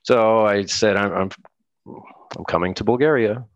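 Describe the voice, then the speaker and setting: A man's voice: lowish voice, normal speed, muffled recording. An American man, middle-aged, chatting casually as an interview guest, rambling with frequent restarts and laughs. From United States. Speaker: guest musician.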